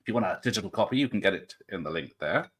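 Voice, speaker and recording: A man's voice: fairly low pitch, lively intonation, rapid-fire, slightly rough. A man hosting an interview in a chatty, jokey way, reading out viewer names and asking a guest questions. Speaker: interview host. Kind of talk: casual interview chat.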